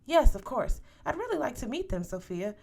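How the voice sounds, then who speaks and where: A woman reading the part of an eager, encouraging male school recruiter in a story, with a polite, enthusiastic pitch. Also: big pitch swings, moderate pace, vocal fry, a little mellow, exaggerated voice. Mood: upbeat, encouraging.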